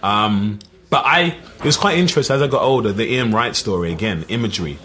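A middle-aged man with an East London accent, telling lively stories as a podcast guest, full of "you know what I mean". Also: lowish voice, fast. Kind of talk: casual storytelling.

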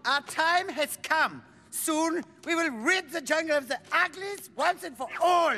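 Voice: sits high, a little slow, bright, forceful tone, heavily acted. A performer voicing a sly, vain peacock villain in a cartoon, theatrical and grandiose. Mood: gloating. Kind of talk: animated cartoon villain acting.

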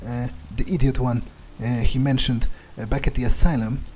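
A man improvising in-character game narration, halting and sweary as he mutters to himself. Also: medium pitch, steady tone, darkish tone, a little hiss or hum. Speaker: player role-playing his game character.

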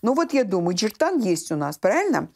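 Expressive older woman telling stories. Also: medium pitch, fairly quick. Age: 60s. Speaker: children's writer and teacher, interview guest. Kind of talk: animated conversational storytelling in a TV interview. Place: small indoor room set with shelves, books and textiles.

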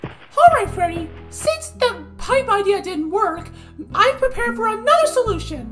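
A woman's voice: extremely high pitch, big pitch swings, a little slow, a bit bright, faint music behind, full character voice. A woman voicing a scatterbrained, well-meaning animatronic chicken in an amateur cartoon skit, eager and earnest.

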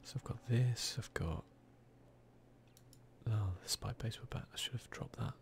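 A man's voice: medium pitch, moderate pace, vocal fry. A man with a British accent giving casual, whispered ASMR commentary as he plays a video game. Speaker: gaming video host.

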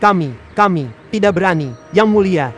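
Pria memerankan prajurit penebang yang ketakutan. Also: male voice, sits high, expressive, normal speed, fairly bright tone, soft background music. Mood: fearful. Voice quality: nervous, stammering. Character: frightened male woodcutter soldier. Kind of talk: dubbed cartoon voice acting.

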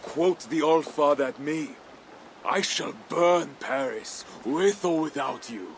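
A man's voice: on the high side, expressive, slow pace, gravelly, a little hiss or hum, exaggerated voice. A man playing a vengeful Viking warlord in a video game, curt and contemptuous. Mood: scornful. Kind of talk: dramatic game cutscene acting.

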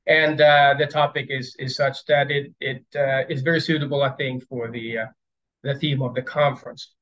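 A man's voice: medium pitch, little pitch movement, moderate pace, fairly bright tone. Hesitant man on a lo-fi video call. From Sweden. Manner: hesitant, many fillers and repeated words. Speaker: plenary speaker. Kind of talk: academic keynote lecture over video call. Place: indoors at home, stairs behind. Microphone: video call webcam. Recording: dull lo-fi video call audio.

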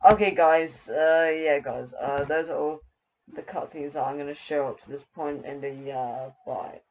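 Young dude rambling over his game, slow and unsure, puts himself down a lot. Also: on the high side, steady tone, a little mellow, a little hiss or hum, low-quality recording. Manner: hesitant, self-deprecating. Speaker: gaming video host. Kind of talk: casual rambling commentary, reading captions aloud.

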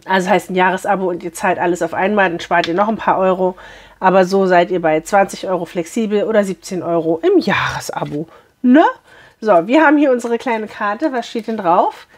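A woman in her thirties with a hoarse voice, chatting cheerfully to viewers as she unboxes a beauty box in a small room. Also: mid-range voice, a little fast.

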